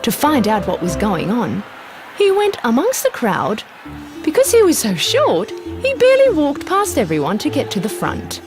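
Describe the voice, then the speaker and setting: Casting: female children's story narrator, hugely expressive pitch, medium register, music bed. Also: moderate pace.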